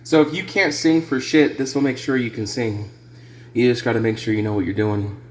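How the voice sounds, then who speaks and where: A man's voice: medium pitch, fairly even intonation, brisk. A man giving a casual, unscripted music gear demo in a rambling, hesitant, sweary way. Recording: clear background noise.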